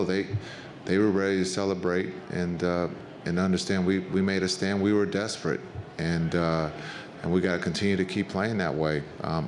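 A middle-aged man, a head coach answering reporters' questions at a postgame press conference, speaking in a measured, plain way with many fillers, into a table microphone. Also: deep voice, slight background noise. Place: press conference room with backdrop.

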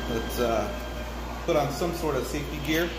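A middle-aged man in a closed home garage, rambling and halting through a casual welding demonstration, filmed on a phone set on the bench. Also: medium pitch. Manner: rambling, halting with many fillers. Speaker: hobby welder vlogger. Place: closed home garage workshop with air conditioning running. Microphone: phone camera set on the bench, sometimes far off. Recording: faint air conditioner hum in a garage.

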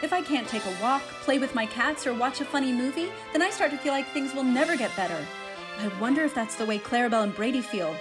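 A woman's voice: high voice, animated, quick pace. A woman narrating a children's audio drama as its encouraging storyteller host. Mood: reflective, inviting. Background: music.

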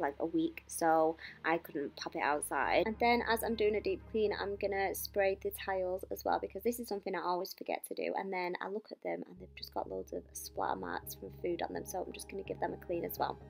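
A woman's voice: fairly low pitch, vocal fry. A young adult woman with a British accent gives a casual, chatty voice-over about household chores. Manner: chatty, informal. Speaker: home cleaning vlogger. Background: background music.

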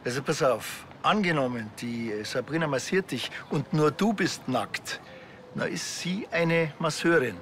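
An actor playing a dry, skeptical, sardonic small-town policeman with a deadpan manner and a Bavarian accent. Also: a little high, measured pace, slight background noise. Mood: dry, teasing.

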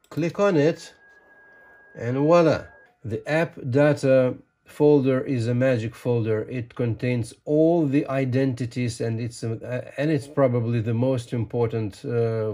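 Unhurried older man, mellow voice, explaining. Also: mid-range voice. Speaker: ham radio tutorial presenter. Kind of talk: informal how-to explanation. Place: small home radio room by a window.

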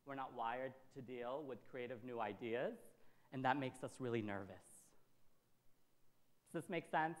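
A man in his thirties from Syria giving a motivational talk, emphatic, repeating phrases and checking in with short tags. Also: mid-range voice. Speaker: workshop speaker. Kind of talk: motivational presentation to an audience. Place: indoor event space, presenting in front of a backdrop.

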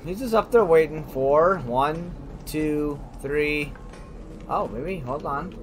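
A man's voice: on the high side, slow pace, a little hiss or hum. A man giving casual let's play commentary, thinking aloud in short remarks. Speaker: let's player. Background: music and game sounds.

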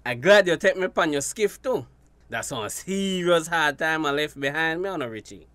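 A man's voice: a little high, animated, moderate pace, fairly bright tone, no room sound. An actor with a Belizean Creole accent playing a hard-up, guarded fisherman in a radio drama. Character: hard-up, guarded male fisherman seeking fresh start.

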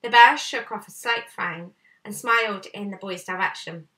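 A woman's voice: medium pitch, normal speed, pushed and bright. A woman in her thirties reading aloud like a teacher, narrating a storybook in a room at home. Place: small bright room indoors at home. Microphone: camera a short way off. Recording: slightly distant camera microphone.

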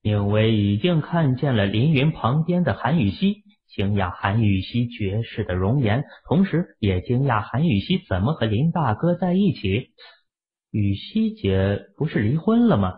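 A man's voice: mid-range voice, lively intonation, moderate pace, hardly any pauses. A man narrating a novel as a scripted, dramatic audiobook reading. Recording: tinny, narrow-band phone-like audio.

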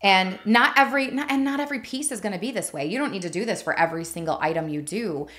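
A woman's voice: medium pitch, quick pace, fairly bright tone. A woman, around her thirties, coaching conversationally and emphatically as a podcast host, speaking into a studio microphone. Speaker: podcast host, marketing advisor. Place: small indoor podcast studio. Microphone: studio mic on boom arm.

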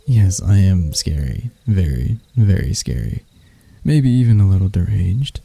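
A man voicing a deranged, seductive spider vampire in an intimate ASMR roleplay, soft and breathy, teasing and theatrical, doting yet menacing. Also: low voice, no room sound, heavily acted. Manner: slow, teasing, theatrical, with dark chuckles.

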